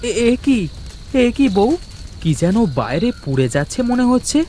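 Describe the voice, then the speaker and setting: A man's voice: high voice, very animated, moderate pace, a little mellow, a little hiss or hum. A man voicing an earnest, plain-spoken poor village husband and father in a fairy-tale cartoon. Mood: alarmed.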